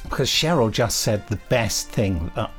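A man's voice: fairly low pitch, animated, moderate pace, soft background music. A man hosting a podcast, chatting casually in a hesitant, rambling, self-correcting way. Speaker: podcast host; audio drama writer and director. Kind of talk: casual chat.

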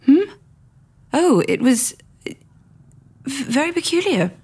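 A woman's voice: high voice, very animated, slow pace, darkish tone, full character voice. An actress with a British accent playing a flustered, preoccupied woman in an audio drama, hesitant and distracted. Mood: flustered, shaken.

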